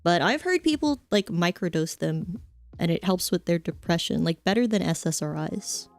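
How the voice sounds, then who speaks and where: A woman's voice: on the low side, animated, normal speed, dry recording, faint music behind. A young adult woman streamer chatting casually, rambling with lots of fillers and offhand jokes. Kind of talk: casual chat stream, reading chat.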